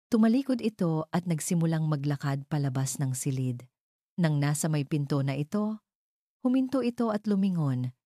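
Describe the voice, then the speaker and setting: A woman's voice: low-pitched, mellow, no room sound, clean studio sound. A woman narrator reading a scripted romantic drama in a storytelling style.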